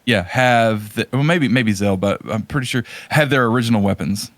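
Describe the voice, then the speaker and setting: A man co-hosting a podcast, chatting casually about video games in a halting, rambling way. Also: medium pitch, no room sound. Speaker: podcast co-host.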